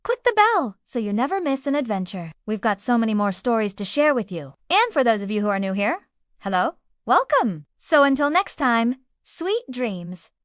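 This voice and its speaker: A woman narrates a scripted bedtime story for children. Her voice sits a little high. The sound is very dry, with no room around it. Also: sing-song, normal speed, no room sound. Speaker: children's storyteller and channel host.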